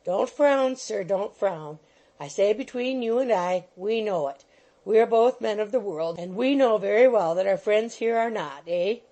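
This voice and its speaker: A woman's voice: medium pitch, a little slow, warm tone, close to an everyday voice, low-quality recording. A woman voicing a fussy, bustling little male attorney who coaxes and keeps saying "my dear sir". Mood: wheedling. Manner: coaxing, repeats "my dear sir".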